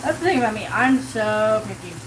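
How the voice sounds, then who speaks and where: A woman's voice: medium pitch, lively intonation, brisk. A woman in her forties with a South Kansas accent, chatting casually on a live stream while cooking in her home kitchen, rambling and leaning on "like" as she answers her chat. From Colorado. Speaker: livestreamer. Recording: sizzling pan noise behind. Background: sizzling pan.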